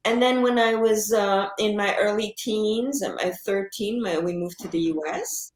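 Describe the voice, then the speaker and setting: A woman's voice: mid-range voice, moderate pace, roomy sound. A woman in her sixties, an author and psychotherapist born in Israel, talking about her life in an interview, with many fillers and restarts. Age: 60s. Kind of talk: conversational interview.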